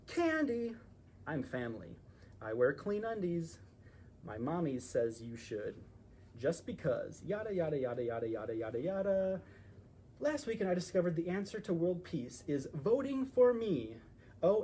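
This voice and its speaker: A pushy, boastful storybook donkey played by a man, childish and eager to win. The pitch swoops about in animated bursts as he campaigns, over faint background noise. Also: moderate pace. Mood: smug. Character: bragging cartoon donkey candidate, pushy and childish. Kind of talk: picture-book read-aloud.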